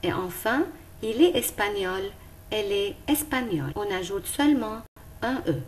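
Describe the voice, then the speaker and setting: A woman teacher, giving a careful, clearly spoken lesson to young pupils. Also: mid-range voice, expressive, slight background noise. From Lebanon. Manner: slow, careful and clearly articulated.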